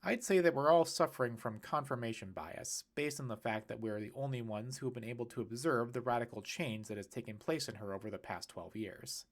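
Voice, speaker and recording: A pedantic man of science, acted out by a male narrator in a storybook reading. He talks in long formal phrases, precise and a little huffy, at a middling pitch. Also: brisk, hardly any pauses, lightly acted.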